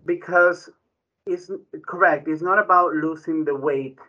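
A man's voice: a little high, fairly even intonation, measured pace, pushed and bright. A man, a physician explaining health in conversation, often checking in with "right?". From Ecuador. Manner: frequent "right?" tag questions, restarts. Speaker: physician guest. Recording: dull lo-fi audio.

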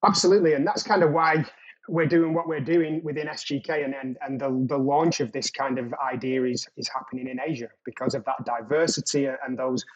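A man's voice: medium pitch, steady tone, a little fast, dull audio, crowd noise. A man with an English accent, a podcast guest talking casually over a remote call, loose and rambling with fillers and restarts. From England. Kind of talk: casual interview talk.

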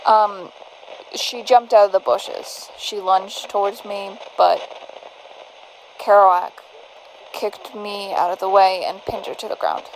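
A woman's voice: mid-range voice, steady tone, slow pace, long pauses, slight background noise, rough recording. A woman playing an anxious young survivor, hesitant, earnest and pleading, in an audio drama. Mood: uneasy.

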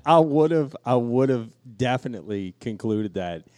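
A man's voice: medium pitch, lively intonation, a little slow, a little mellow, no room sound. A man co-hosting a podcast, telling crude, swear-filled stories in casual banter. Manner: crude, profanity-laced. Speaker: podcast co-host.

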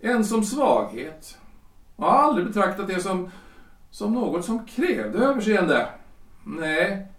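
An actor playing a self-important, flustered lawyer-politician husband in his forties in a period audio drama, halting and repeating himself. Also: high-pitched, big pitch swings, fairly bright tone, recorded from a distance. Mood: nervous, defensive. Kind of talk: period stage-play audio drama acting. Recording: roomy echo, off the mic.

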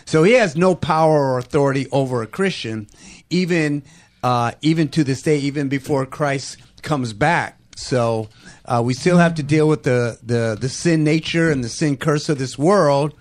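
A man's voice: fairly high pitch, normal speed. A man co-hosting a call-in radio show, earnestly explaining an answer with many fillers. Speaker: radio co-host.

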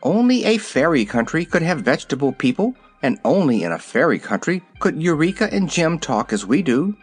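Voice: mid-range voice, big pitch swings, brisk, breathy edge, faint music behind, pitched above the performer's own voice. A narrator voicing a forthright, kind-hearted little girl in an audiobook, prompt and earnest. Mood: assured. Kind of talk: audiobook character voice.

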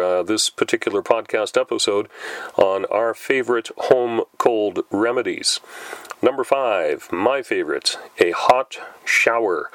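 A middle-aged man with a lowish voice chats on his podcast, slightly stuffed up from a cold. He talks at an easy pace, pausing often on "uh". The sound is dry and close. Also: dry recording. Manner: unhurried, many "uh" pauses. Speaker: podcast host. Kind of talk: casual solo podcast talk.

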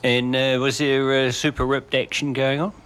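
A man's voice: medium pitch, flat delivery, normal speed, fairly bright tone, clean tone. A man co-hosting a radio show, chatting casually and asking questions.

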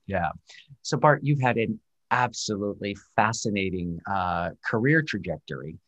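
A man's voice: on the low side, expressive, moderate pace, fairly bright tone, highs cut off. Man in his forties, chatty host running a talk series and leaning on fillers. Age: 40s. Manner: chatty, filler-heavy, self-deprecating. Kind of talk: webinar hosting and interviewing.

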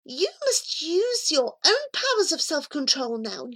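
A woman voicing a bossy, exasperated female cat who urges a boy to hurry, in a high, loud voice. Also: sing-song, a little slow, full character voice. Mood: urgent.

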